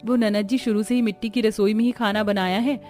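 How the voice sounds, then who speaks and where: A woman voicing a gentle, humble young village bride, earnest and deferential, in a cartoon family story. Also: mid-range voice, steady tone, a little fast, faint music behind, close to an everyday voice. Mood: unsure.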